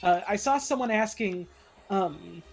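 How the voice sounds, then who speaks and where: A mid-pitched voice in casual, rambling stream chat. The speech is halting and often acts out quoted thoughts, with music playing behind it. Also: animated, creaky. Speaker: fandub voice performer on a livestream. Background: background music.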